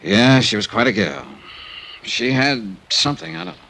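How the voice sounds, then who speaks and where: A man's voice: mid-range voice, animated, normal speed, bright, forceful tone. A man playing a worldly, unsentimental insurance investigator in an old American radio drama, clipped and wry, sometimes narrating. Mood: dry, gentle. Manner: clipped, matter-of-fact, wry. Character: hard-boiled male freelance insurance investigator, worldly, unsentimental. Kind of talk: 1950s radio drama acting, first-person detective narration. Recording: vintage radio drama recording.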